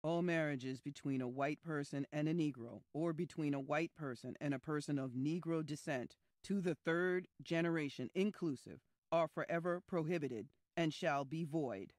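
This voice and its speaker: Low-voiced woman reading statutes, dry sound. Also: darkish tone, no room sound. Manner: formal, measured delivery. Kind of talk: solemn reading of historical legal text.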